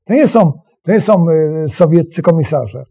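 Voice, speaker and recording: A man speaks as an expert guest in an unscripted interview. His voice is rather high yet soft-toned and husky. He goes haltingly, repeating phrases to make his point. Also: animated. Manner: halting, emphatic, repeats phrases, clears throat. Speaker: expert guest, history professor.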